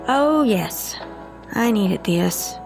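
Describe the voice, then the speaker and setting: A woman's voice: medium pitch, lively intonation, extremely slow, gravelly, over music, exaggerated voice. A woman playing a teasing, ominous storyteller, narrating an audio drama in a mischievous, theatrical manner. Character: teasing, ominous female storyteller game master.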